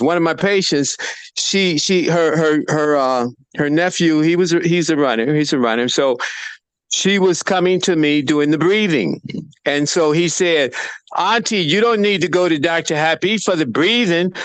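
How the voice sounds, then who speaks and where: A man's voice: fairly high pitch, moderate pace, a bit bright, smooth, no room sound, poor audio. A man in his seventies on a video call, a breathing practitioner explaining his method and telling stories in an earnest way. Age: 70s. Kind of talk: casual chat.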